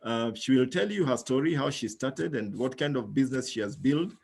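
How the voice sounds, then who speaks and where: A man's voice: mid-range voice, animated, fairly quick, gravelly, a little mellow, slight background noise. A man hosting an online business talk, persuading guests and often asking "yeah?". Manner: persuasive, calls for agreement with "yeah?". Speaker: host.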